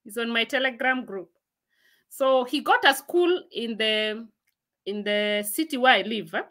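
Bright high-voiced woman hosting a livestream. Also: measured pace. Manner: chatty, laughs often, reads comments aloud and answers them. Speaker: livestream host. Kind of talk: casual livestream chat answering viewer comments. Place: room at home, indoors.

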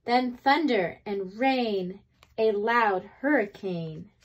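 A woman reading a children's picture book aloud expressively, with deliberate dramatic pauses between phrases. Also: mid-range voice, low-quality recording. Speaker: read-aloud storyteller.

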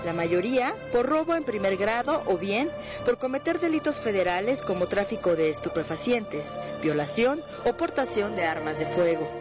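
Woman, news reporter, scripted narration, steady serious tone, music bed. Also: mid-range voice. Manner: measured, serious voice-over.

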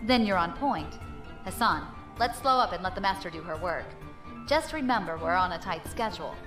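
An actress playing a confident, breezy pirate leader in a science fiction audio drama, wry and brisk. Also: female voice, on the low side, lively intonation, close to an everyday voice. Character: cocky, breezy female space pirate leader. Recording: music behind the voice.

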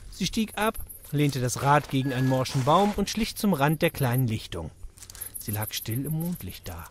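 A man narrating a children's audio drama in an expressive storytelling manner. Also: mid-range voice, moderate pace, a little hiss or hum.

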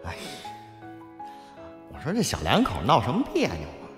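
A man's voice: medium pitch, huge pitch swings, normal speed, soft background music. A man acting a cheeky, grumbling sidekick in an audio drama, slangy and exasperated as he teases his boss.

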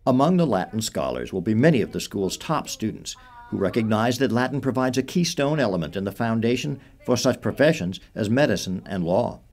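A man's voice: fairly low pitch, sing-song, fairly quick, darkish tone. A man narrating a school news program script in a polished, upbeat way.

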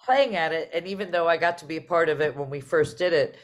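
A woman's voice: low voice, quick pace. A middle-aged woman hosting a conversational interview over a video call in an enthusiastic way. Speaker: host, theatre artistic director.

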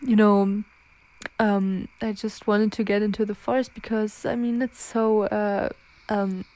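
A woman acting a halting, frightened young werewolf girlfriend. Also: mid-range voice, level tone, darkish tone, lightly acted, low-quality recording. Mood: nervous, evasive. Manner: halting, stammering, pleading. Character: frightened, evasive young werewolf girlfriend fighting her transformation. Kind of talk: ASMR girlfriend roleplay audio drama.

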